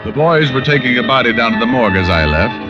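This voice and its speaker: An American actor playing a dry, wisecracking hard-boiled private detective in old-time radio style. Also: male voice, mid-range voice, expressive, quick pace, natural voice. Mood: brooding, wry. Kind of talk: 1940s-50s radio detective acting, first-person narration. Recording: dull old radio recording with music.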